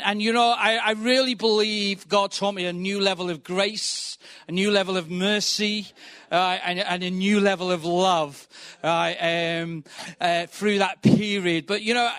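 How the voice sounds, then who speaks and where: A middle-aged man with a Liverpool accent preaching a sermon in a quick, chatty, joking way, often saying "right". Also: high voice, fairly bright tone, dry recording. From England. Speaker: guest preacher.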